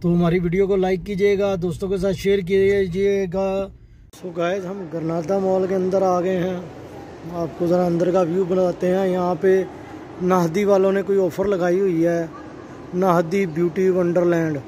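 Male travel vlogger, thirties, higher-pitched voice; casual repetitive tour-guide patter on a phone camera with audible noise behind him. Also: flat delivery, normal speed, dark tone. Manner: repeats "check karein ji", chatty tour-guide narration. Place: car in traffic, then large indoor shopping mall. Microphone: handheld phone camera. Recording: background noise.

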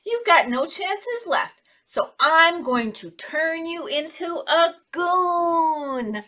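A woman voicing a stern good fairy from a storybook, giving slow, sing-song scoldings. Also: very high voice, animated, bright, forceful tone, pitched above the performer's own voice, heavily acted. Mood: final, scolding.

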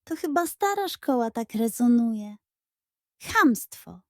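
Woman playing a stately, composed lady schoolteacher, unhurried. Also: fairly high pitch, no echo, clean studio sound, exaggerated voice. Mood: sighing indignation. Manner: calm, stately, gently reproving. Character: dignified, demanding female Polish schoolteacher, calm and composed. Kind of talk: children's audio storybook character acting.

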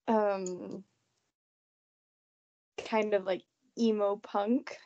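Female student, unsure, creaky fairly high voice, dull call audio. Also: fairly even intonation, very slow, warm tone. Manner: hesitant, unsure. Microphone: video call.

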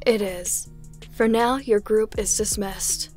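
A woman's voice: medium pitch, slow, a little mellow, faint music behind, natural voice. Woman acting a composed assassin commander. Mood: cool, commanding. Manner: formal, matter-of-fact. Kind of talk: audio drama acting.

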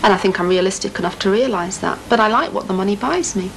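A middle-aged woman with a Lancashire accent, speaking in a measured, thoughtful way in an interview. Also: medium pitch, creaky, a little hiss or hum, low-quality recording. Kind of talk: reflective interview talk. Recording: 1980s TV film with music behind.

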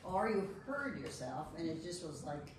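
A woman's voice: lowish voice, big pitch swings, moderate pace. A woman participant in a Bible study, speaking casually in a group discussion. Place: reverberant room at a group meeting. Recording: very echoey room, distant mic, faint noise.

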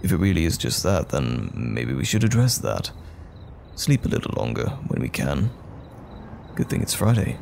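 A man acting as a tender, worried boyfriend in an intimate audio roleplay, speaking gently and soothingly to comfort a troubled partner at night. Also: rumbling low, sing-song, moderate pace, vocal fry, a little hiss or hum, exaggerated voice. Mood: tender concern. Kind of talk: intimate roleplay audio drama, soft bedside talk.

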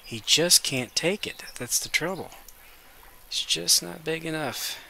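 Congested man chatting over gameplay, bright tone. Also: fairly high pitch, measured pace, gravelly, a little hiss or hum. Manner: relaxed, chatty, talks to viewers as guys. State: congested with a cold. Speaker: gaming video host. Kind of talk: casual gameplay commentary.

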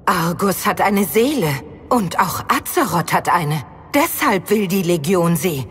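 Grave, urgent elf warrior. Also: female voice, fairly low pitch, expressive, measured pace, faint music behind. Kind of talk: audiobook drama acting.

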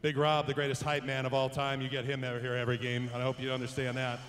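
A middle-aged American man giving a halting, heartfelt, unscripted thank-you speech into a handheld microphone. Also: mid-range voice, completely flat delivery, a bit bright. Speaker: public address announcer. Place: on the ice in an indoor arena. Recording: echoing arena PA.

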